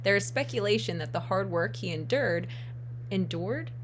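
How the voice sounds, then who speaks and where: A young woman chats through a true-crime podcast in a mid-pitched, easygoing voice. She teases, giggles and trips over words as she reads her notes. A faint hum runs underneath. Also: lively intonation, normal speed. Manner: giggly, teasing, stumbles over words while reading notes. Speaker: podcast co-host.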